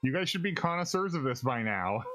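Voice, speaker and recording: A man's voice: mid-range voice, very animated, a little fast, pushed and bright, no room sound. A man co-hosting a podcast, giving casual, joking and self-deprecating commentary on a game he is playing. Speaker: podcast co-host. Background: game music.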